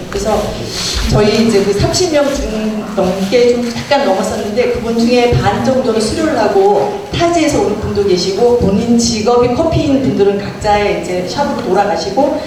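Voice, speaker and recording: A middle-aged woman with a Gangwon Korean accent, chatting as a guest speaker about a local club and telling her story with many fillers. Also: a little high. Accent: Gangwon Korean dialect. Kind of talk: casual talk to a gathering. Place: community meeting room. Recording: echoey, distant, noisy room.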